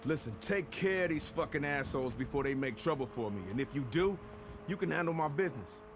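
A man's voice: fairly high pitch, expressive, fairly quick, background noise, close to an everyday voice. An actor playing an irritable, commanding male music mogul in a video game, curt, emphatic and sweary. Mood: irritated. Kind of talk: video game cutscene acting.

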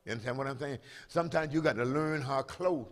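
A man's voice: mid-range voice, fast talker. An older man pastor preaching a sermon from a pulpit with a handheld microphone, calling out to the congregation and drawing out key phrases for emphasis. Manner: preaching with call-and-response, rising to loud emphatic proclamation. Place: large church sanctuary, at a pulpit. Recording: long reverb of a large hall.

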